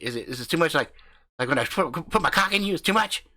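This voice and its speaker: A man voicing a loud, crude, profane husband who hunts ghosts with his wife, swaggering and brash. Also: on the high side, lively intonation, quick pace, pitched above the performer's own voice. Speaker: comedic character.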